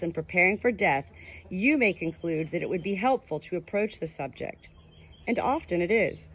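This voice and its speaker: A woman's voice: mid-range voice, slightly rough. A woman reading a nonfiction book aloud as scripted audiobook narration. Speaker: audiobook reader.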